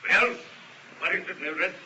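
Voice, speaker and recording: An American actor playing a gruff, domineering male businessman in a 1950s radio drama, curt and imperious. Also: high voice, unhurried, sharp tone, full character voice. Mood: irritated. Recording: old radio recording, faint noise.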